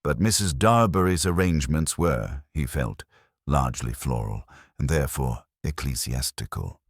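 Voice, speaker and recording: A man reading scripted mystery fiction as narrator, in a measured, wry, literary manner. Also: rumbling low, slightly rough, no echo, clean studio sound.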